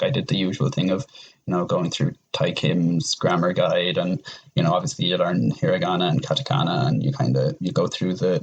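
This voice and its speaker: A man's voice: low voice, a little hiss or hum, low-quality recording. A man talking casually as a podcast guest, in a rambling, self-correcting way. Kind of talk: casual conversational discussion.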